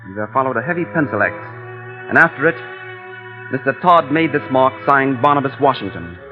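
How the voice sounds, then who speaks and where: An actor narrating and playing a trapped traveler who grows desperate, earnest and pleading, in 1940s radio acting. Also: male voice, mid-range voice, expressive, fairly quick, natural voice. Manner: earnest, pleading, rising to demands. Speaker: narrator and lead. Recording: dull old radio broadcast with music.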